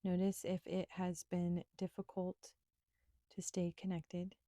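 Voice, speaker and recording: Slow, mellow woman guiding meditation. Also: lowish voice, completely flat delivery, no room sound, studio quality. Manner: gentle, soothing, unhurried with pauses. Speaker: yoga and meditation instructor. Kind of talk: guided meditation.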